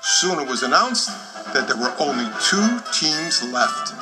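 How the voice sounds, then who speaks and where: Lively man narrating a children's story. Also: a little high, measured pace, poor audio. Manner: expressive, clearly phrased storytelling. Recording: music behind the voice.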